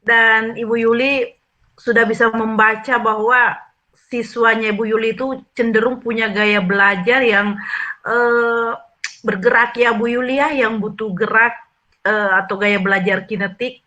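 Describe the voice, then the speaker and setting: A woman's voice: on the high side, steady tone, measured pace, sharp tone. A woman with a Central Sulawesi Indonesian accent coaching a teacher, encouraging and affirming, asking guiding questions. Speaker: academic supervision coach. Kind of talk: role-play coaching conversation. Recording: dull lo-fi recording.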